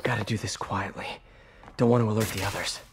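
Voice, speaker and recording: An actor playing a young American superhero in a video game, quick-witted and earnest, often muttering wryly. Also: male voice, lowish voice, steady tone, music in the background, lightly acted. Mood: hushed, wary. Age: young adult.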